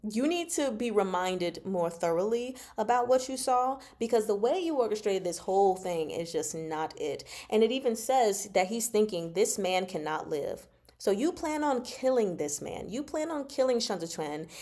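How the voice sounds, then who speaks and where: Casting: female book-discussion host, medium pitch, casual and slangy, emphatic, teasing, expressive delivery. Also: moderate pace. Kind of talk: casual chatty book commentary with live chat.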